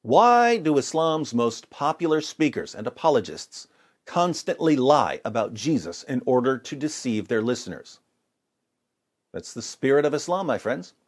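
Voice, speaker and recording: A man's voice: mid-range voice, big pitch swings, moderate pace. A man giving polemical religious commentary with scripture readings, in an emphatic, sarcastic and theatrical way.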